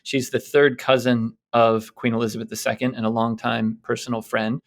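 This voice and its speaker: A man's voice: medium pitch, flat delivery, moderate pace, vocal fry. Man casually retelling news on a podcast. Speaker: co-host presenting a news quiz segment.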